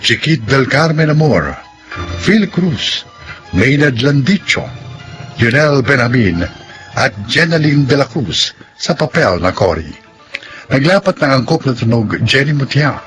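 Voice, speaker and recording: A man's voice: mid-range voice, very animated, unhurried, a bit bright. A man narrating as a radio drama host, scripted, solemn and dramatic in delivery. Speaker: host and announcer. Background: music.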